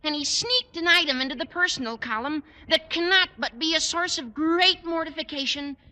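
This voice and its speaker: A melodramatic teenage boy in 1950s radio sitcom style, high and piercingly bright. He delivers florid lines with great self-importance, in a reverberant old studio recording. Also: moderate pace, exaggerated voice. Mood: grave, pompous. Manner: pompous, florid wording.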